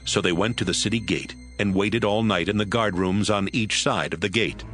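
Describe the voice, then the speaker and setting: A man narrating scripture in a steady, even storytelling manner. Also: fairly low pitch, normal speed, faint music behind.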